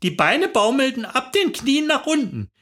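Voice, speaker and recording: A woman's voice: high voice, big pitch swings, moderate pace, a bit bright. An older woman telling a comic story in a chatty, gossipy way, chuckling as she goes. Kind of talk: comic monologue.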